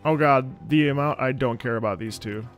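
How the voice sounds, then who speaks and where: Male streamer, casual jokey reactions, fry in the voice, faint game music behind. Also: mid-range voice, moderate pace. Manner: offhand, jokey, thinking aloud. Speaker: streamer playing a video game. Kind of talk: casual live reaction commentary.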